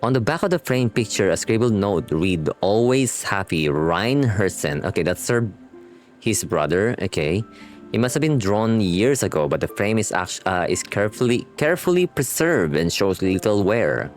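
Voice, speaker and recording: A man's voice: on the low side, big pitch swings, moderate pace. A man doing a casual live game reaction, chatting in slangy asides and reading on-screen text aloud over game music. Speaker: streamer reacting to a game story.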